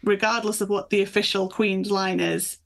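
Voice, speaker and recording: A woman's voice: mid-range voice, little pitch movement, moderate pace, creaky, a bit bright. A woman speaking as a guest author in a conversational interview, explaining things with enthusiasm. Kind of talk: conversational interview chat about folklore.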